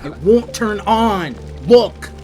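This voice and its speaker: A man's voice: high voice, expressive, takes it slowly, dark tone, soft background music. A man playing a rattled, argumentative teenage boy in an audio drama, exasperated and sweary.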